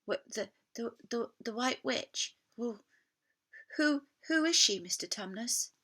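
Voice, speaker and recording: A woman's voice: fairly high pitch, animated, slow, pushed and bright, voice pushed up, heavily acted. A woman voicing an innocent, kindly young girl in a storybook, speaking in a hesitant, earnest, pleading way. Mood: shocked, puzzled.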